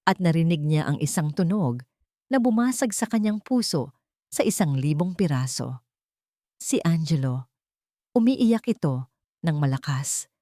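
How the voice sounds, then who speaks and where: A woman narrates a romantic drama with measured, emotive delivery. Her voice sits low. The recording is dry and close, with no room sound. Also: lively intonation, mellow, no echo.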